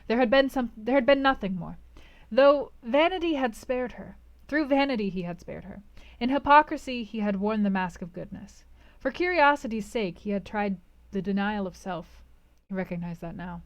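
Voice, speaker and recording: A woman with a fairly high voice reads classic fiction aloud in a dramatic audiobook style. Her delivery is theatrical and emphatic, using pauses for weight and moving between hushed and intense phrasing. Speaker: host of a read-aloud book club stream.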